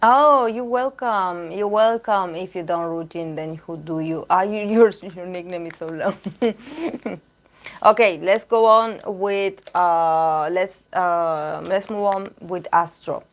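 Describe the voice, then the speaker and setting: A woman reading tarot cards on a live stream, chatting with viewers and pausing to search for words, her voice going hoarse. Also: lowish voice. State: losing her voice. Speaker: tarot reader.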